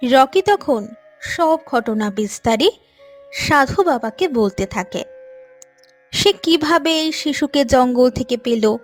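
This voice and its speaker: A woman's voice: sits high, animated, takes it slowly. A woman narrating a scripted story as the storyteller. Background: soft music.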